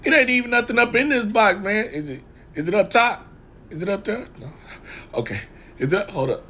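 A man's voice: lively intonation, a little fast, sharp tone. A man doing comedic voiceovers for pets, talking in a goofy, slangy, exaggerated way. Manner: goofy, exaggerated, wildly swinging pitch, slangy drawl. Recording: voiceover mixed with music.

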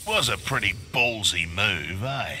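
An actor playing a crude, taunting mercenary thug with an Australian accent in a fighting game. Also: male voice, lowish voice, animated, a little slow, noisy background. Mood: brash. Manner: brash, taunting. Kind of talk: fighting game character dialogue.

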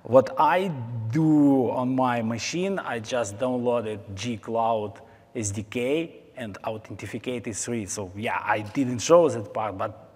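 A man answering audience questions at a tech conference, quick to agree. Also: mid-range voice, a little mellow. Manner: brief interjections, quick agreement. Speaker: conference speaker. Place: large conference ballroom. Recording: reverberant ballroom.

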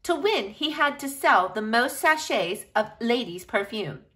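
Lady doing a kids read-aloud, bright voice kinda high, really expressive storyteller. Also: unhurried. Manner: animated, addresses young listeners directly. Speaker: storyteller reading to children. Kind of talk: expressive picture-book read-aloud for children.

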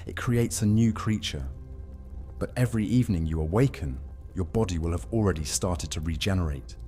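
A man playing a solemn, knowing vampire in an audio drama, explaining things in a grave, measured manner. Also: deep voice, mellow, lightly acted. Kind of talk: immersive audio drama roleplay. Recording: music behind the voice.

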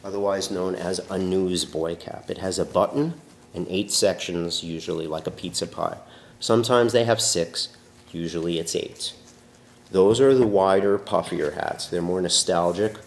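A man's voice: low-pitched, vocal fry. A man, stuffy from allergies, chatting casually about caps in his hat shop while trying them on for the camera. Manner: chatty, animated, trying on hats while talking. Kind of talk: casual product talk to camera. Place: large hat shop with wooden shelves, indoors. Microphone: camera on the counter, moves in and out of frame. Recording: roomy shop echo, faint background hum.

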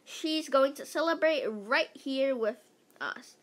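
A female voice giving an animated read-aloud of a children's book, stumbling over words now and then and adding casual asides. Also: high voice, takes it slowly.

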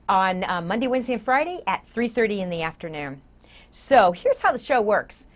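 A woman in her sixties hosting a talk show in a conversational, effusive and emphatic way. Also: medium pitch. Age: older, 60s. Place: TV studio set, seated.

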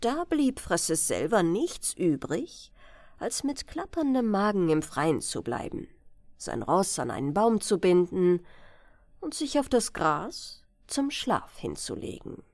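Slow, expressive woman storyteller. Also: medium pitch, dry recording, studio quality. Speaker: audiobook narrator. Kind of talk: expressive fairy-tale bedtime reading.

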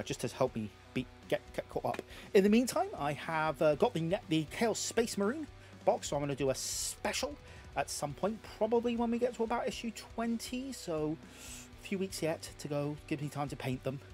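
A man in his thirties with a Geordie accent, narrating a tabletop battle report at home, slightly hoarse, rambling with lots of fillers. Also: medium pitch. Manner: rambling, self-deprecating, lots of filler words. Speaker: YouTube host. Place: home games room.

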